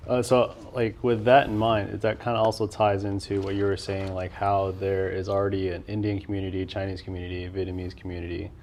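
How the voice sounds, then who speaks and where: Young male host, laid-back and conversational, low and gravelly. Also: level tone, fairly quick, hardly any pauses, darkish tone, slight background noise. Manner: laid-back, many murmured backchannels. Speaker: podcast host. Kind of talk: casual interview chat.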